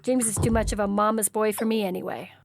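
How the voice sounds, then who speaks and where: A woman's voice: medium pitch, steady tone, quick pace, vocal fry, music in the background, lightly acted. A woman playing a defiant, resentful young woman in a dramatized story reading. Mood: dismissive.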